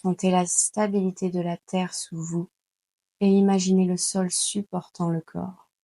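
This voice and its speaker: A woman guides a meditation in a lowish, husky voice. She takes her time, calmly giving soothing instructions and leaving long pauses. The recording is dry and close. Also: no echo. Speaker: yoga nidra guide. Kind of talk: slow guided meditation narration.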